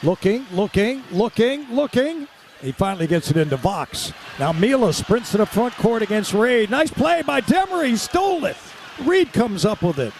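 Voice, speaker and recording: A man calling live radio basketball play-by-play in clipped, excitable running descriptions. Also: high voice, normal speed. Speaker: play-by-play announcer. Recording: courtside with loud crowd and gym noise. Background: crowd and arena ambience.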